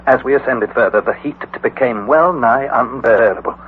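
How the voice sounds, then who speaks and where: A man's voice: medium pitch, normal speed, lightly acted. An actor playing an earnest young man who narrates his adventure in a dramatic storytelling manner. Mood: reflective narration. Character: young male nephew narrator, earnest and anxious. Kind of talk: 1940s radio acting. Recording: tinny old radio broadcast with faint hiss.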